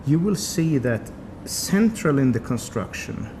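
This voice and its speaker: Swedish guy walking through a product demo, middle pitch, lots of background noise. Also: very animated, a little slow. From Sweden. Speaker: company representative. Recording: noisy room ambience.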